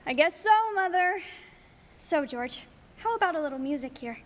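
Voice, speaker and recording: Young woman acting a wry, high-voiced small-town girl. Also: animated, normal speed. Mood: exasperated. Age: teenager or young adult. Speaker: high school student actor. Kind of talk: filmed stage radio play acting. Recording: echoey hall with background hiss.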